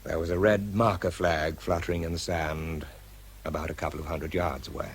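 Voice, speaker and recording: Low-voiced understated bomb disposal man. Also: little pitch movement, normal speed, slight background noise. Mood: reflective, tense. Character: weary, nervous bomb disposal man with an artificial foot. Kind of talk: radio drama, first-person narration and dialogue.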